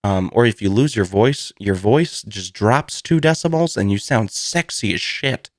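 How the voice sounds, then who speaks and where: Low-voiced man, slightly hoarse, rambling podcaster. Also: animated, a little slow, dry recording, studio quality. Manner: rambling, self-deprecating, laughs through his words. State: recovering from a cold, slightly hoarse. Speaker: podcast host. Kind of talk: casual solo podcast chat.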